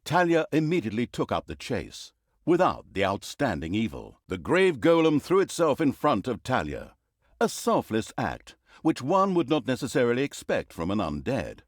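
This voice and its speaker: An actor playing a sarcastic, pompous storyteller, narrating a fantasy video game with dry, mock-dramatic humor. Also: male voice, mid-range voice, huge pitch swings, normal speed, no echo. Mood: mock-epic drama. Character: sarcastic, pompous male storyteller of evil deeds. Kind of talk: theatrical scripted fantasy narration.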